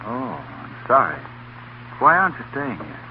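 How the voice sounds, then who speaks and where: An actor playing a cheerful, cheeky young doctor in a 1930s radio drama, heard on a scratchy old broadcast. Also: male voice, medium pitch, big pitch swings, measured pace, dull audio. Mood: breezy, cheerful.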